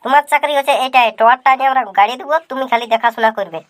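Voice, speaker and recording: A brash, fast-talking village cartoon caller, played by a man with a Purulia Bengali accent. The voice is pitched high and rushes through rough, swearing lines. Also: fairly bright tone. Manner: brash, quick rustic talk with swearing. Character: pushy village phone-company caller and friend.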